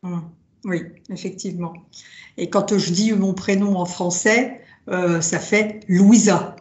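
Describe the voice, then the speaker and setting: A woman born in France to Italian parents, with Italian as her first language, telling her story slowly with many pauses and fillers. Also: on the low side, steady tone, a little mellow. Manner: hesitant, many fillers, reflective. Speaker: drum maker, guest. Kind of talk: casual interview, personal story. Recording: roomy, dull lo-fi sound.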